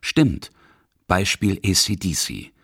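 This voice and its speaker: A man's voice: deep voice, very animated, slow, a bit bright, breathy edge, no room sound, clean studio sound. A man reading scripted narration for a radio feature as its narrator. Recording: music under the voice. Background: music.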